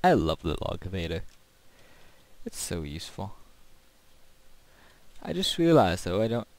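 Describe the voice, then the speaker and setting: A man's voice: low voice, big pitch swings, a little slow, dry recording. A man casually talking through his builds and play as a gaming video host, in a rambling commentary.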